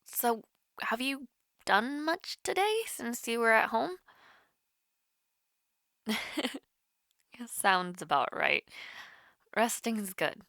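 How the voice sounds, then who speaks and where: A shy, soft-hearted young woman played by an actress talks as if on a private call with her crush in a romantic audio roleplay. Her delivery is unhurried and hesitant, with expressive swings in pitch. The sound is dry and close, and her manner is caring and easily flustered. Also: no echo, full character voice. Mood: casual, gentle.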